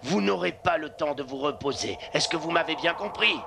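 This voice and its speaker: A man's voice: on the high side, animated, brisk, gravelly, noisy background. A man playing a grave village leader in a French-dubbed cartoon, solemn in his delivery. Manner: solemn, instructing. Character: grave, trusting village man sending off sled dogs. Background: music.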